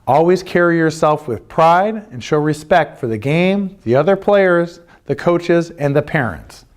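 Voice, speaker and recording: Man, American accent, middle-aged, slightly high pitch, earnest youth coach, plain-spoken, emphatic instructional delivery. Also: lively intonation, a little slow, slight background noise. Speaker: youth lacrosse coach and club representative. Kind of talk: instructional presentation of club rules.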